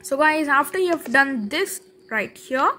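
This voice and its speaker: Bright high-voiced woman narrating gameplay. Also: expressive, a little hiss or hum. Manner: chatty, fillers, quick laughs, thinks aloud while building. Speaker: Minecraft building tutorial creator. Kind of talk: casual game tutorial narration.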